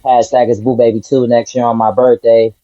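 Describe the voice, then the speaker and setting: A man's voice: medium pitch, little pitch movement, brisk, mellow, dry recording, muffled recording. A man from Detroit, a rapper, answering interview questions in a laid-back, earnest way. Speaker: rapper guest.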